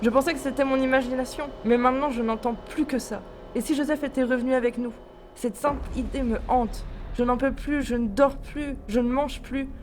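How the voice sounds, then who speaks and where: Actress as female science officer, haunted and insistent, high voice over noisy ship ambience, audio drama. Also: steady tone, fairly quick, darkish tone, close to an everyday voice. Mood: haunted, exhausted. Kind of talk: amateur audio drama acting.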